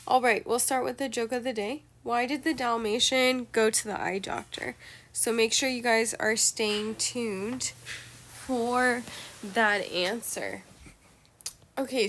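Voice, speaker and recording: A woman's voice: on the high side, a bit bright, slight background noise. A young woman math teacher with a nasal, stuffy voice, patiently explaining a lesson step by step. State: nasal from allergies.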